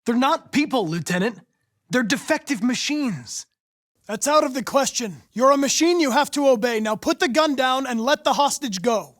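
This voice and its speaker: A man with an American accent playing a polite, determined young android police investigator in a video game, speaking in a precise, formal, measured way. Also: very high-pitched, animated, fairly bright tone. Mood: firm, cold. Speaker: actor voicing a video game character.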